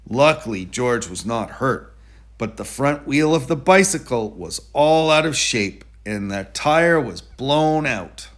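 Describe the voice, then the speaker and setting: A man reading a children's story aloud in an expressive, deliberate storytelling delivery. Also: mid-range voice. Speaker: storybook reader.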